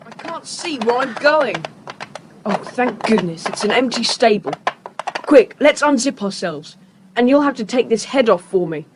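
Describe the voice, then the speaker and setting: A woman's voice: a little high, lively intonation, lightly acted. A woman playing an eager, bossy schoolboy who takes charge in a children's audio drama. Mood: urgent. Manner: brisk, take-charge. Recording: faintly hissy cassette tape.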